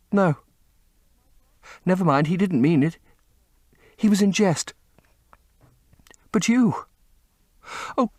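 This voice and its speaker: A man's voice: high-pitched, no room sound, clean studio sound, voice pushed up. Sobbing young woman begging. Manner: tearful, breathless begging. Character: desperate young actress pleading with her lover.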